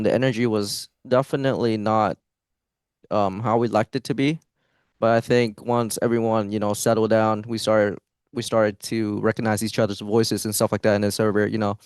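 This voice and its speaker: Young man, esports player, post-match interview, hesitant, many restarts, smooth low voice. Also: no echo, studio quality.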